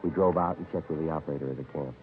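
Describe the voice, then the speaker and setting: An actor playing a terse police detective in a 1950s American radio crime drama, narrating in a deadpan, matter-of-fact, clipped way. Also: male voice, rumbling low, rapid-fire. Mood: businesslike. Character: terse, businesslike male police detective narrating his case. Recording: tinny vintage radio recording with music.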